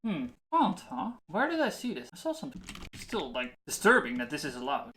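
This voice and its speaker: A streamer thinks aloud while coding, with a bright, tense tone. The talk is casual, trailing off into half-finished thoughts aimed at the screen. Faint hiss and keyboard typing sit behind the voice. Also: mid-range voice, wildly swooping pitch, a little fast.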